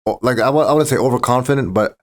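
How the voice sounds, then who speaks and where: A man who grew up in the Czech Republic, a boxer and podcast guest, talking casually and often restarting his sentences. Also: mid-range voice. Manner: fast, restarting, stumbling over words. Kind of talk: casual interview talk.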